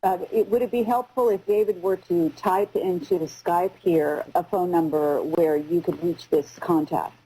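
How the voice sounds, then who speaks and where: A woman hosting a live radio interview, speaking in halting phrases with repeated words. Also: fairly low pitch, fairly even intonation, mellow, husky, rough recording. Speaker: radio show host.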